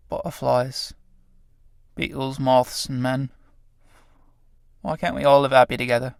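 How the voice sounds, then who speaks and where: A man playing a battered old male tramp who talks in rough, colloquial speech. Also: medium pitch, no room sound, clean studio sound. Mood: musing. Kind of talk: radio play acting.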